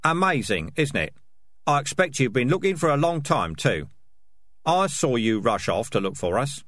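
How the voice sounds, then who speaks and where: Man doing a tough, blunt rat fighter, raised pitch. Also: lively intonation, measured pace, a little hiss or hum. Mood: sarcastic. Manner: snappish, forceful, blunt.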